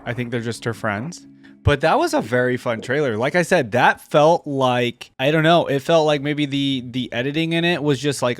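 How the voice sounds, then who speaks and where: A man giving casual, enthusiastic reaction commentary, speaking informally and haltingly. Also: medium pitch, dry recording. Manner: halting, informal, enthusiastic about what he watches. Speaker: reaction video host. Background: faint music from the video he watches.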